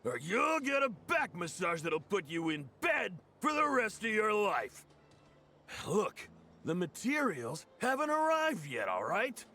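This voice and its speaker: An actor playing a blustery, gruffly good-natured middle-aged craftsman in a video game. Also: high voice, wildly swooping pitch, unhurried, sharp tone, exaggerated voice. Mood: indignant, blustering.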